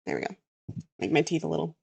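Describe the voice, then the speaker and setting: A woman's voice: sits low, expressive, fast talker, creaky, a little mellow, breathy edge, no echo. A Canadian woman chatting casually while she draws on a livestream, thinking aloud and trailing off mid-sentence. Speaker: VTuber artist streaming her drawing.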